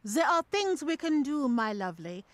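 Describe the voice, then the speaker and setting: A woman's voice: sits high, sing-song, moderate pace, no room sound. A woman playing a mysterious, coaxing spirit medium in an audio ghost story, with a dramatic, portentous delivery. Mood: coaxing, confident. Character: theatrical female spirit medium, mysterious, coaxing and self-assured.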